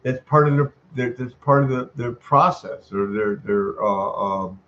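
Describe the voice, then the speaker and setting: A man's voice: mid-range voice, a little slow, a bit bright. An older man making an informal complaint call, emphatic and aggrieved, repeating himself as he rambles. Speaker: caller, tenant making a complaint. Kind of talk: informal phone complaint, venting. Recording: dull lo-fi sound with background noise.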